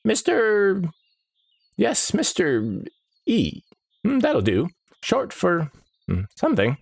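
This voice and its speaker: A forgetful old male time mage, reedy and pitched high, bright and dithering. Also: huge pitch swings, slow pace, creaky, husky, no room sound, clean studio sound, heavily acted. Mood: cheerful, dithering. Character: scatterbrained cheerful old male time wizard.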